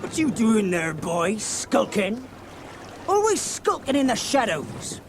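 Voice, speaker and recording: An actor playing a bullying, suspicious pirate sailor, snarling accusations in an audio drama. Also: male voice, sits high, very animated, takes it slowly, music in the background, heavily acted. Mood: menacing. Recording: clear sea ambience noise behind.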